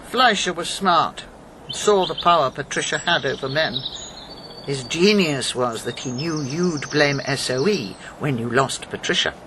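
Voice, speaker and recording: Assured elderly intelligence officer, animated. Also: female voice, low-pitched, measured pace, background noise. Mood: cool, accusing. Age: older. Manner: assured, blunt. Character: shrewd, unsentimental elderly female British intelligence officer. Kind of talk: radio drama acting.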